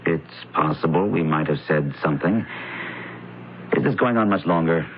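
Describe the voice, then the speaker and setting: A man's voice: a bass voice, normal speed. A man playing an irritable, evasive wealthy heir under suspicion in a radio mystery, guarded and testy. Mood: curt, evasive. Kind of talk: radio mystery drama acting. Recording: muffled, faintly noisy old radio broadcast.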